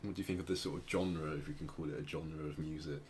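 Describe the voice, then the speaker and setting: Very deep-voiced man, hesitant podcast co-host. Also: steady tone, quick pace, darkish tone, slight background noise. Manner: hesitant, circling back and rephrasing as he explains. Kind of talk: casual podcast chat, explaining a musician's story.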